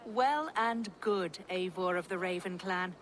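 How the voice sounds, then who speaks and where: A woman's voice: fairly low pitch, a little slow, lightly acted. A woman playing a level-headed, inquisitive Saxon abbess in a video game, speaking formally. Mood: earnest. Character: composed, inquisitive Saxon abbess investigating dark mysteries. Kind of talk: video game cutscene acting.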